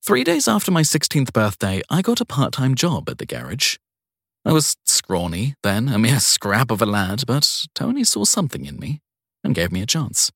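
A man narrating a first-person crime story as an audiobook, in an expressive storytelling manner. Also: mid-range voice, normal speed, gravelly, breathy edge, no room sound, studio quality.

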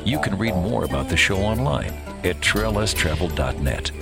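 A man reading a scripted radio show intro in a measured announcer style. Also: low-pitched, sing-song, gravelly. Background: music.

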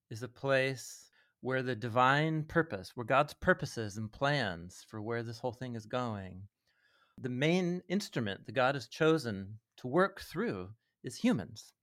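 A man with a mid-pitched voice explains ideas as a podcast guest. He speaks like a teacher in conversation, thoughtful and earnest, often with a small chuckle. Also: lively intonation, a little slow, a bit bright.

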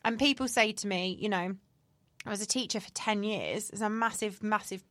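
A woman's voice: mid-range voice, moderate pace, fairly bright tone, no room sound. A woman in her thirties, chatting candidly as a podcast co-host with lots of fillers. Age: 30s. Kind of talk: casual chat.

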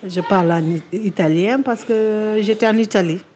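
A woman's voice: mid-range voice, normal speed, dark tone, muffled recording. A middle-aged woman from Marseille, a mother, answering interview questions openly and proudly. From France, Marseille. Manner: open and proud, plain answers. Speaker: parent of a pupil. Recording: crowd chatter behind.

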